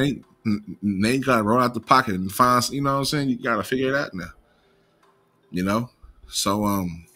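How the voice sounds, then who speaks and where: A man's voice: fairly low pitch, sharp tone. An American man with a slight stutter, talking off the cuff as an online commentary host in slangy, profane, casual language. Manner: imperfect pronunciation of some words.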